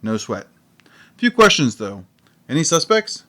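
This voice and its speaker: A man's voice: mid-range voice, very animated, unhurried, bright, forceful tone. A man playing an earnest, literal-minded detective dad who acts and narrates in an audio comedy. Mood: baffled, insistent. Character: wisecracking, easily confused detective dad.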